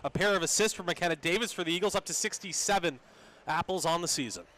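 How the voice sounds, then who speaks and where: A man giving a brisk radio sports update, reading out scores and player stats. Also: sits high, pushed and bright.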